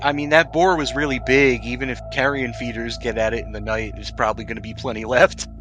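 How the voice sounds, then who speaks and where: A man's voice: mid-range voice, steady tone, a little fast, music in the background. A man acting as game master, describing scenes for a tabletop roleplaying game in a conversational way.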